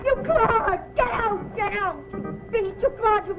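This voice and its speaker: An actress playing a bitter, self-pitying middle-aged bedridden wife in a theatrical 1950s television melodrama. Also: female voice, very high-pitched. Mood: hysterical fury. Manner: theatrical, drawn-out and accusing. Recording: muffled phone-like old TV soundtrack, music.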